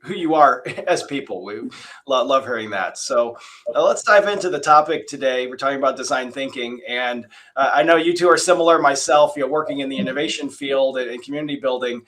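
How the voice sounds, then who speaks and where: A man's voice: medium pitch, fairly quick, fairly bright tone. A man moderating a design panel, reading guest introductions and then chatting casually with many fillers and restarts.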